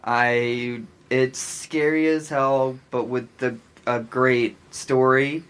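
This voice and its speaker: An American man co-hosting a podcast, talking casually with gushing emphasis and halting restarts. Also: medium pitch, steady tone, fairly bright tone, smooth. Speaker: podcast co-host. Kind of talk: casual chat.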